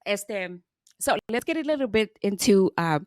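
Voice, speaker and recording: A woman's voice: medium pitch, expressive, normal speed, darkish tone, dry recording. A middle-aged woman hosting a podcast interview, chatting casually, laughing often and restarting her sentences. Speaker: podcast host.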